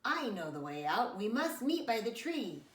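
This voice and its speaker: A woman's voice: a little high, very animated, normal speed, distant mic, voice pushed up. Woman voicing an earnest young child, echoey room. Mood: urgent, firm. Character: young child narrator, polite, pleading, earnest and bossy about safety. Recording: roomy echo, away from the mic.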